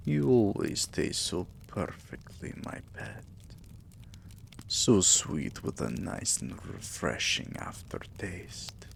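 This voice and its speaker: Casting: male actor as possessive, tender vampire king for ASMR roleplay; very low, gravelly murmur, soothing and dominant. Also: big pitch swings, a little hiss or hum, full character voice. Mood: intimate, whispering. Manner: soothing, intimate, slow and murmuring, often whispering.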